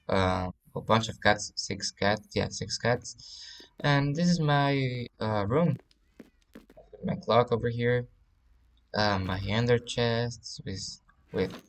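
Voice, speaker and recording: Man, Spanish accent, native Spanish speaker, casual game tour commentary, halting, slightly low voice. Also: a little hiss or hum. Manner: halting, often searching for words. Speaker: let's play creator.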